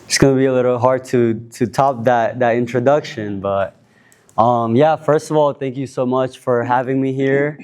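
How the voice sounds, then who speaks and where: A man in his twenties from Cuba, introducing himself on a panel in a relaxed, rambling way with many fillers and restarts. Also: mid-range voice, normal speed, clear, resonant tone. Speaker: panel speaker. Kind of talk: casual self-introduction at a panel.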